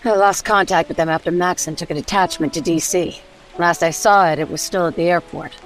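A woman's voice: on the low side, steady tone, a little fast, faint music behind, lightly acted, rough recording. An actress playing a tough, decisive wasteland leader and mother with a firm, wry manner in a radio drama. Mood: resolute. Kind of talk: old-time radio drama acting.

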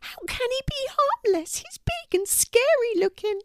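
Lady doing a teeny scared boy mouse for a kids story, super high n squeaky, all trembly. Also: expressive, a little slow, a bit bright, no echo, studio quality, heavily acted. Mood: frightened. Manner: trembling, exclamatory. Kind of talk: children's storybook character voice.

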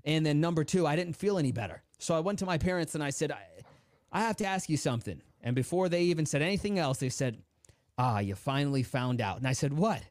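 A young adult man from the United States, a motivational speaker telling his life story in an interview, acting out the voices of his family with big swings in pitch. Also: fast, dry recording, clean studio sound. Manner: animated storyteller, acts out quoted dialogue with big pitch swings.

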